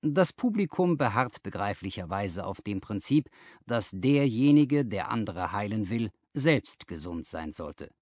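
A man narrating a classic story as an audiobook, reading expressively and deliberately. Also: fairly low pitch, big pitch swings, no room sound. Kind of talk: dramatic scripted audiobook narration.

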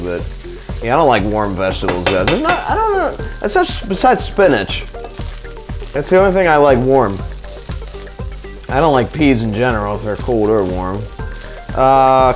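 A young man chatting casually to his viewers while cooking in his home kitchen, loose and slangy, on a camera mic by the stove. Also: mid-range voice. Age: young adult. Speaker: vlogger.

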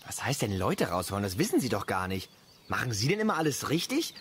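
A man's voice: on the low side, big pitch swings, fairly quick, a bit bright. An actor playing a young West German man, hesitant, defensive and sardonic, in a naturalistic radio drama. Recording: outdoor ambience with birds, clear background noise. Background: birdsong and lakeside nature ambience.

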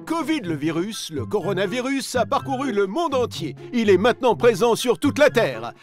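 A man narrates an explainer for children in a very high-pitched voice. His intonation is lively, and music plays softly underneath. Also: normal speed. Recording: music behind the voice.

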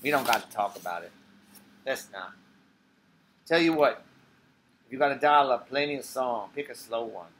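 A man's voice: mid-range voice, animated, normal speed, pauses often, a bit bright, a little hiss or hum, close to an everyday voice. A man playing an old blind ex-fighter in a bar, streetwise and wistful, with clipped, offhand talk. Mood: wistful, coaxing.

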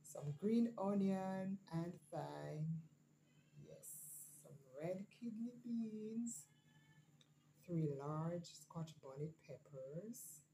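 Jamaican lady doing a grocery haul vlog, slow and easy, faint room hum behind. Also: lowish voice, mellow, low-quality recording. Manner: listing items one by one, unhurried. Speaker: vlogger. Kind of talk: casual grocery haul narration.

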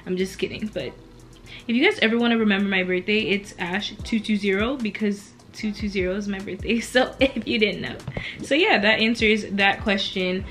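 A woman in her early twenties chatting casually through a Q&A vlog while lying back on her bed at home, relaxed and laughing often between answers. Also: medium pitch, moderate pace, slight background noise. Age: 20s. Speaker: beauty and lifestyle YouTuber.

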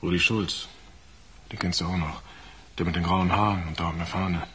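A man's voice: deep voice, steady tone, moderate pace, fairly bright tone, husky, heavily acted. An actor playing a troubled, reproachful working man in a radio drama. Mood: earnest. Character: troubled, conscience-stricken, reproachful male workman.

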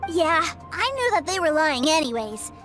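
A woman voicing an innocent, excitable little boy, an adoring younger brother in a video game, with a childlike voice. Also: sits high, normal speed. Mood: innocent, eager. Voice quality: childlike, bright-eyed. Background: game music.

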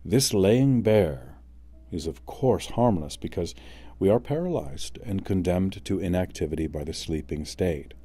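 A man reading a classic essay aloud as an audiobook, with a measured, solemn, drawn-out delivery. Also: sits low, warm tone. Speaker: audiobook reader.

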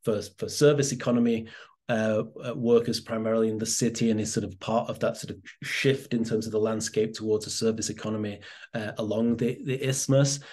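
A man lecturing over a video call from a home office. He speaks in an even, flat tone, stopping often for ums and restarts as he works through his points. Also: fairly low pitch, fairly quick. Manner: hesitant, many fillers and restarts. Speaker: presenter. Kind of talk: academic webinar talk.

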